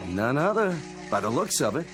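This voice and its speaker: An actor playing a gruff, jovial working-class barkeeper in a comic audio drama, folksy and blustery in his talk. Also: mid-range voice, sing-song, normal speed, music in the background, natural voice. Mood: curious.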